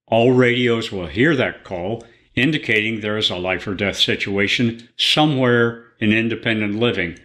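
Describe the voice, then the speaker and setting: A man's voice: mid-range voice, fairly bright tone. A man reading scripted instructional narration for a training programme.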